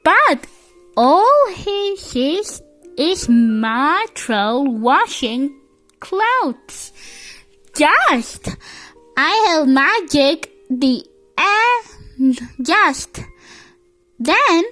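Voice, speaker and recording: A reader with a childlike voice reads a children's picture book aloud haltingly, stumbling over and repeating words. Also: sits high, sing-song, sharp tone, faint music behind. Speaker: storybook reader.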